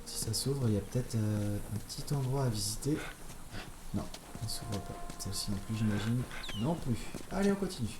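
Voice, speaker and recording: A man's voice: fairly low pitch, expressive, fairly quick, noisy background. A man casually commenting on his gameplay, chatty and playful, with jokey asides to his viewers. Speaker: let's play host. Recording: roomy sound with loud game music.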